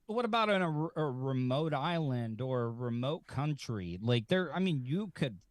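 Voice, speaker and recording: A middle-aged man chatting casually as a radio guest, telling tales in a halting, boastful way. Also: mid-range voice, sing-song, no echo. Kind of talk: rambling casual chat and anecdotes.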